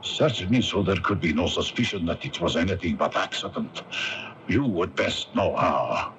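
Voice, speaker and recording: An actor playing a scheming, commanding Egyptian conspirator who speaks low and hushed in a radio drama. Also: male voice, moderate pace, low-quality recording. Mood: conspiratorial. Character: scheming, coldly murderous Egyptian brother, secretive and commanding. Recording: hissy early-1980s AM radio broadcast.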